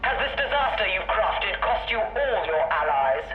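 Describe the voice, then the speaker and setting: Scornful noble ruler. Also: female voice, mid-range voice, very animated, unhurried. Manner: scornful, haughty. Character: haughty noble house matriarch. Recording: dull, echoey, with music.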